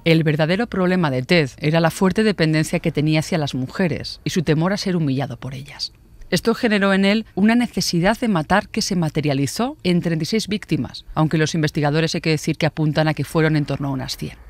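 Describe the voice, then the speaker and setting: A woman's voice: deep voice, fast, no echo. A woman hosts a true-crime podcast in a Castilian accent. She reads scripted narration as the storyteller of the case. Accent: Castilian Spanish.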